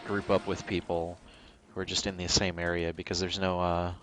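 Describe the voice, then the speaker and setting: A man livestreaming a video game with casual, halting commentary, thinking out loud as he plays. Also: sits low, little pitch movement, music in the background.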